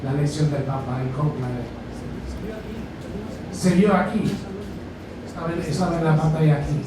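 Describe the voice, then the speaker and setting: A man's voice: on the high side, steady tone. A British man speaking Spanish with an Argentine-tinged British accent, giving a talk to a university audience with many fillers and restarts. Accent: British speaking Spanish with Argentine touches. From Britain. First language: English. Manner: halting, with frequent fillers and restarts. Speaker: guest speaker, journalist and author. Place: university lecture hall. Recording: echoey lecture hall from far off, background noise.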